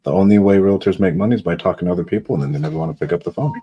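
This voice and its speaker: A man's voice: low voice, racing, slight background noise. A man from California, a real estate investor, chatting casually on a phone call.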